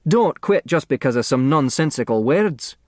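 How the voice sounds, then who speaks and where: A man's voice: a little high, lively intonation, normal speed, no echo, clean studio sound, pitched above the performer's own voice, natural voice. A man voicing a desperate, folksy working-class doorman in a storybook reading, begging in informal speech. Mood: desperate pleading.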